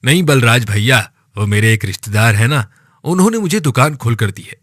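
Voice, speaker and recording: A man's voice: medium pitch, lively intonation, a little fast, fairly bright tone, no echo, clean studio sound, pitched below the performer's own voice. A man playing a humble, poor village husband in a cartoon story, earnest, grateful and a little anxious. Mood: deferential. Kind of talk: animated moral story voice acting.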